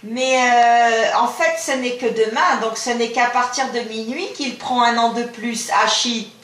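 A woman with a high voice talks casually on amateur radio from a small indoor shack. She explains in short, encouraging phrases, and the room adds a clear echo as a camera microphone picks her up. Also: measured pace, a bit bright. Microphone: camera filming the radio desk.

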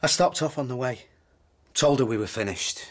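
A man acting in a radio drama as a weary, earnest husband who hesitantly justifies himself, his voice husky. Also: medium pitch, normal speed, close to an everyday voice. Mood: self-justifying.

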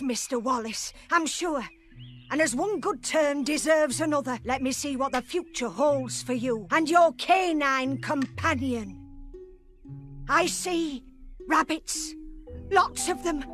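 A woman voicing an ominous, theatrical fortune teller in an animated game cutscene. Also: sits high, heavily acted. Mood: grateful. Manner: portentous, dramatic.

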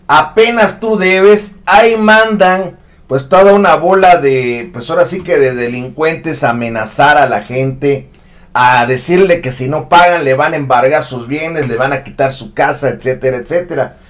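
A man's voice: on the high side, lively intonation, measured pace. A man in his thirties hosting an online news commentary show, speaking with emphatic indignation. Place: studio set with a news backdrop.

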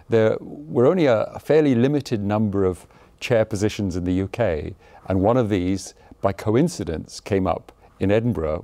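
A man's voice: on the low side, creaky, warm tone, dry recording. A man in his sixties, a cardiology professor, talking thoughtfully about his career in a studio interview, with frequent hesitations and self-deprecating humor. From Zimbabwe and Malawi. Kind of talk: relaxed conversational interview. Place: studio set with a blue backdrop. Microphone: lapel mic.

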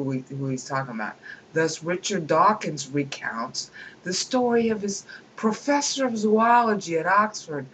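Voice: medium pitch, a little hiss or hum, poor audio. A person at a home computer reading a book aloud and breaking off into opinionated, digressive commentary with chuckling asides.

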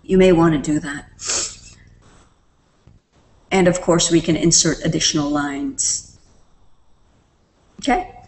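A woman presenting a screen-recorded software tutorial, explaining each step in a relaxed way with fillers. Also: sits low, takes it slowly, long pauses. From Canada.

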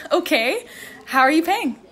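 Friendly young female barista played by an actress, chirpy and high with lively swings, radio play. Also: measured pace, full character voice. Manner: chirpy, sweet customer service.

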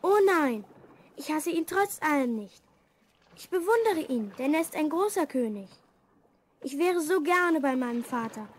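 A very high-voiced, earnest young princess. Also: female voice. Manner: formal, earnest. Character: lonely young princess Elizabeth, proud and sad. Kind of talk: German children's audio drama acting.

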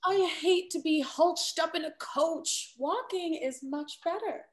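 A woman's voice: very high voice, slow. A young woman playing a needling society confidante in a period stage comedy, arch and theatrical. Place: indoor room, video call.

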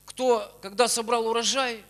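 A middle-aged man preaches in short exhorting phrases, pausing for an interpreter. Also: extremely high pitch, fairly even intonation, fairly bright tone. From Ukraine. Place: church auditorium stage. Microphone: handheld microphone. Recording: long hall reverb from church PA.

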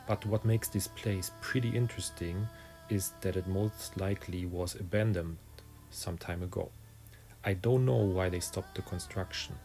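Very low, creaky-voiced man narrating. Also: dark tone. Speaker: drone vlogger narrating own video. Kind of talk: scripted voice-over narration. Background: faint music.

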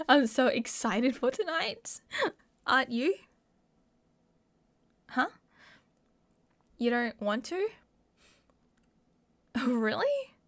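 A young woman with an Australian accent playing an excitable, mischievous college friend in a casual audio roleplay. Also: high-pitched, very slow, long pauses, full character voice. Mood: excited, playful. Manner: playful, giggly, slangy. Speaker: roleplay voice performer. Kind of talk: ASMR roleplay acting, casual chat to a friend.

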